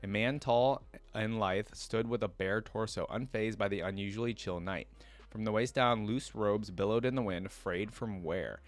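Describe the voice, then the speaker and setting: Low-voiced man reading and reacting casually. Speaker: reaction video host. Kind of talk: reading lore aloud with casual fan commentary.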